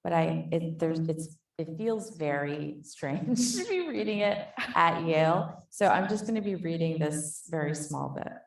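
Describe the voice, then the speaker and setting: A woman author in a panel conversation, thoughtful and soft-spoken, reading from her book and talking about it. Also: low voice, lively intonation, unhurried, vocal fry, few pauses, distant mic. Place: conference hall. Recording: echoey hall, voice from off mic.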